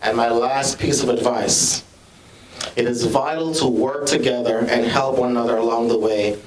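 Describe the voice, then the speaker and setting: A man from Jamaica with a Jamaican accent, giving an earnest, measured speech as a school administrator at a student ceremony, often restarting his sentences. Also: mid-range voice. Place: school library, large room. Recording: echoey room, from far off.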